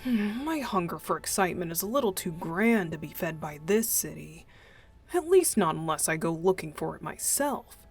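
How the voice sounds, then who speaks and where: A woman voicing a playful, mischievous young male deacon in an unhurried, teasing ASMR roleplay style. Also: fairly low pitch, very animated, faint music behind, full character voice. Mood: playful, confiding. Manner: teasing, unhurried, chuckling, breathy asides.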